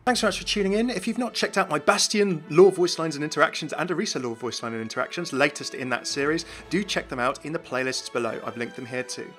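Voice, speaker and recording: A man's voice: on the high side, quick pace, few pauses, fairly bright tone. A man hosting a gaming news video in scripted, explanatory voice-over narration. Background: music.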